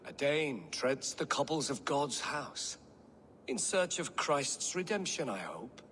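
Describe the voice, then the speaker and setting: Preachy, haughty medieval priest. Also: male voice, medium pitch, very animated, takes it slowly, a bit bright, slight background noise. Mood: wary. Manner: formal, preachy, condescending.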